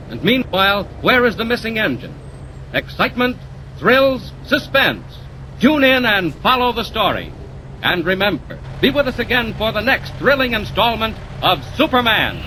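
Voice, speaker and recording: A man announcing a 1940s radio serial in dramatic, declamatory narration. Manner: melodramatic, declamatory. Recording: noisy old radio recording over vehicle rumble.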